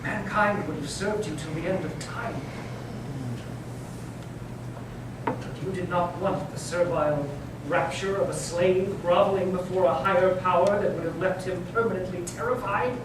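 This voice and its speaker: An old, bitter inquisitor cardinal, acted by a man in staged readers theater. His high voice declaims imperiously, swelling from hush to force. It carries from a distance through a very echoey, noisy hall. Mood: solemn, accusing.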